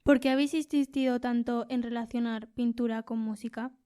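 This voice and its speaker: A woman interviewer with an accent from Spain reads prepared questions. Her voice is high and mellow, with very little rise and fall. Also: steady tone, a little fast. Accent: Spanish from Spain.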